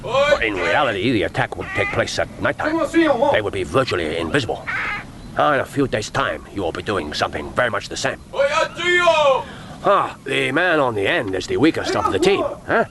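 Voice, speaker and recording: An actor playing a courteous but stern Japanese secret service chief in a radio play, with a Japanese accent and formal, measured, instructive speech. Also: male voice, mid-range voice, wildly swooping pitch, crowd noise. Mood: commanding. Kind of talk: radio drama acting. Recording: radio play with loud music underneath.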